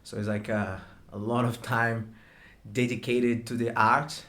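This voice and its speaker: A man's voice: medium pitch, level tone, unhurried, fairly bright tone. A man from Brazil with a Brazilian accent, a jiu-jitsu coach chatting casually on a podcast, dropping "man" and "you know" often. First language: Portuguese.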